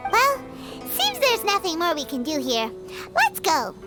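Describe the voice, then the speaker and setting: Tiny floating fairy sidekick: extremely high, squeaky, bouncy delivery, blunt and chirpy, anime game, loud music underneath. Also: female voice, wildly swooping pitch, measured pace, exaggerated voice. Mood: chatty. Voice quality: squeaky, childlike. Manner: refers to self in third person, exclaiming.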